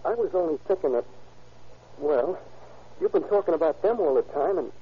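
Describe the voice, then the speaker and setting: A bashful young boyfriend. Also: male voice, a little high, expressive, moderate pace, breathy edge, full character voice. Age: young adult. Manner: bashful, mumbling, short replies. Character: shy young man on a date. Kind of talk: 1940s radio acting. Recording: dull lo-fi old radio broadcast.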